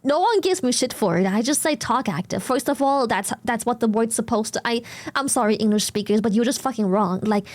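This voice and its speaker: German-accented woman streamer, high voice, blunt and giggly, casual chat on a dry mic. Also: animated, a little fast, hardly any pauses, dry recording. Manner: laughs often, swears casually, blunt.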